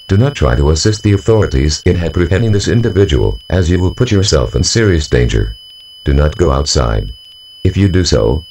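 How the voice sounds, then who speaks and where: A man's voice: sits low, darkish tone, a little hiss or hum, low-quality recording. A man voicing a formal, stern official announcer reading a scripted emergency alert broadcast.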